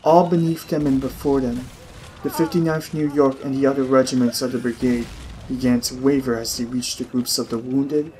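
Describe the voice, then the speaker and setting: A man's voice: mid-range voice, steady tone, normal speed, dark tone, poor audio. A man reading a story aloud as an audiobook narrator, in dramatic scripted narration.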